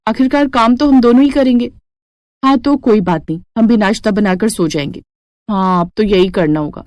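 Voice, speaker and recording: A woman's voice: mid-range voice, moderate pace, darkish tone, no echo, lo-fi sound, close to an everyday voice. A woman voicing a young newlywed daughter-in-law, questioning and put-upon, in a cartoon moral story. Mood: doubtful.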